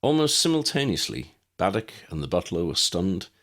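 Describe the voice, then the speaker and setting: A man's voice: sits low, sing-song, normal speed, fairly bright tone. A man reading scripted story narration as an audiobook narrator.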